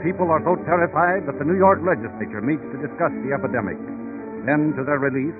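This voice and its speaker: A man's voice: on the high side, fast. An American man announcing and narrating in a polished, dramatic, emphatic 1930s radio style. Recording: tinny 1930s radio, music behind.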